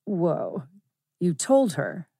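Woman reading as husky, cool young girlfriend. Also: lowish voice, wildly swooping pitch, very slow, mellow, dry recording, clean studio sound, exaggerated voice. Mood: wary, teasing. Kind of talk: table read acting.

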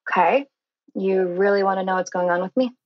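A woman playing a defiant, frank teenage daughter, blunt and deliberate, in a TV teen drama table read. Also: mid-range voice, no echo, natural voice. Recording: dull, lo-fi microphone.

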